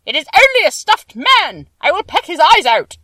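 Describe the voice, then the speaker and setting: Storybook bird villain voiced by a woman: haughty male crow king, much raised pitch, loud and boastful. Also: sing-song, normal speed, dry recording, distant mic, exaggerated voice. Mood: scornful.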